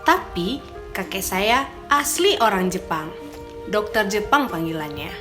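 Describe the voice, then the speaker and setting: A young woman from Indonesia reading a calm, measured documentary voice-over. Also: mid-range voice. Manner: calm, measured, plain delivery. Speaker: documentary narrator. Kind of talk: scripted voice-over narration. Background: music.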